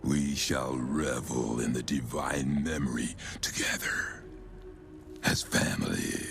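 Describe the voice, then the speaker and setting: A grim undead cult elder from a fantasy game, rumbling at the very bottom of the range. The voice is heavily gravelled and slow, pronouncing murderous doctrine in solemn, archaic phrases over faint music. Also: male voice, fairly bright tone, heavily acted. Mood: cold command. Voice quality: very deep, gravelly. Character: dread undead male cultist elder, grim, murderous zealot. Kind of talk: dramatic fantasy game acting. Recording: music behind the voice.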